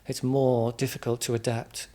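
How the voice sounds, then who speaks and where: A man from England with an English accent, answering interview questions informally in a reflective, halting way, often repeating words as he explains. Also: mid-range voice, flat delivery, dark tone. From England, Midlands. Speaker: interview guest.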